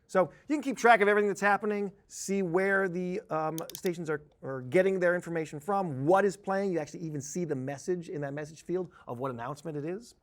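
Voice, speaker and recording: A man giving an informal, conversational software tutorial, explaining things step by step as an instructor. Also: on the high side. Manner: explanatory, informal, uses spoken examples. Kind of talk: conversational screen-share tutorial.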